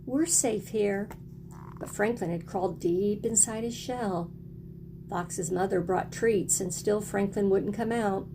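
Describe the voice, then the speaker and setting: A woman's voice: mid-range voice, steady tone, unhurried, background noise. A woman teaching young children, encouraging them in short, simple phrases as she reads aloud and explains. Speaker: early elementary teacher.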